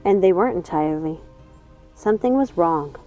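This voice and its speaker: A woman's voice: lowish voice, expressive, a little fast, dark tone. A woman reading a storybook as a scripted audiobook narration. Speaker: audiobook reader. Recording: dull lo-fi sound, music underneath. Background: music.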